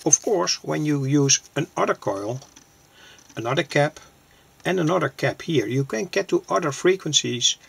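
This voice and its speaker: A man explaining a hands-on demonstration plainly, in halting short phrases, as an electronics hobbyist presenter. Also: fairly high pitch, expressive, creaky, a bit bright, breathy edge. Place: small cluttered indoor electronics workbench.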